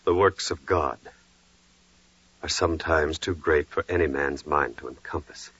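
An actor playing a humble, devout carpenter, earnest and reverent, in the measured style of 1940s radio drama. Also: male voice, extremely low pitch, a bit bright, breathy edge, exaggerated voice. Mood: awed, contrite. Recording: dull, echoey vintage radio recording.

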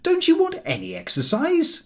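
A man's voice: very high voice, huge pitch swings, normal speed, fairly bright tone, pitched above the performer's own voice, full character voice. A man voicing a cheerful, eager bachelor in an audiobook, breezy, teasing and exclamatory. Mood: indignant. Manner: exclamatory, bouncy.

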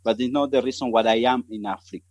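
A man in his thirties from Argentina, with an Argentine Spanish accent and Spanish as his first language, speaking haltingly as a missionary guest on a podcast. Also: medium pitch, fairly even intonation, a little mellow, no room sound, studio quality. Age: 30s. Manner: halting, searching for words in English. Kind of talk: podcast interview.

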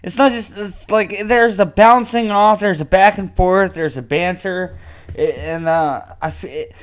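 A man's voice: high voice, measured pace, dull audio. A man co-hosting a podcast in casual banter, rambling, laughing often and swearing freely. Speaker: podcast co-host.

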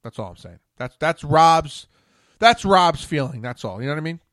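A man's voice: fairly high pitch, animated, normal speed, a bit bright, no echo, studio quality. A man in his thirties hosting a casual call-in radio show, blunt and rambling, a bit tipsy. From Philadelphia area. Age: 30s. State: tipsy, coughing. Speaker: host.

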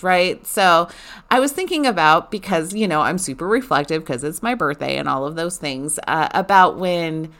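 A woman in her forties chatting casually to her listeners, animated and rambling with lots of fillers. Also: on the low side. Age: 40s. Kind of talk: casual personal chat.

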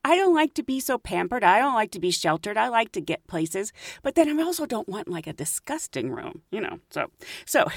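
Lively chatty woman podcast host. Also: moderate pace, gravelly, dry recording, studio quality. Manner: chatty, laughs often, lively rises in pitch. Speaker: podcast host, flight attendant. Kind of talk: casual storytelling.

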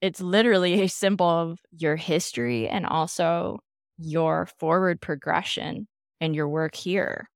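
A woman's voice: on the low side, unhurried, creaky, no echo, clean studio sound. A woman hosting a podcast, asking questions and responding with enthusiastic affirmations. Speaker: podcast host. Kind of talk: casual interview chat.

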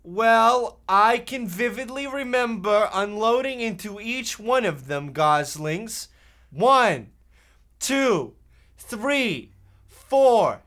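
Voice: medium pitch, fairly bright tone. An actor playing a scheming, trigger-happy Depression-era boy with folksy, melodramatic delivery in a comic radio play. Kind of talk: comic parody radio drama.

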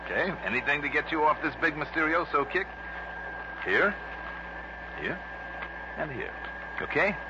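Lively wry American radio detective. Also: male voice, fairly high pitch, normal speed, long pauses. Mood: casual, probing. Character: breezy wisecracking freelance insurance investigator, adult man. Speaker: lead actor and narrator. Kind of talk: 1960s radio drama acting with first-person narration. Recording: muffled, noisy vintage radio broadcast.

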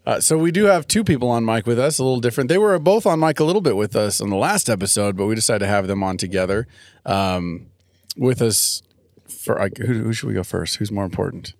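A man's voice: lowish voice, expressive, brisk, dry recording, clean studio sound. A man co-hosting a casual podcast at a cabin table, joking and laughing often. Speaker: podcast co-host. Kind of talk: casual chat. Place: cabin, long table with family.

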